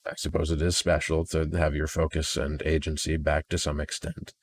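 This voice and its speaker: Guy with a really low voice doing a personal audio diary, kinda downcast, stumbles and restarts a lot. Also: monotone, slightly rough, dry recording. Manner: halting, repeats words and restarts sentences. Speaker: audio diarist. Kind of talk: personal audio log, unscripted monologue.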